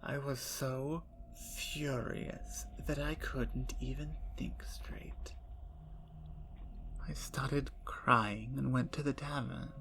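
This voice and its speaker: A woman voicing a playful, talkative female vampire in an ASMR roleplay, teasing and dropping into soft whispers. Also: a bass voice, fairly even intonation, slow, vocal fry, a little hiss or hum, full character voice. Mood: bitter.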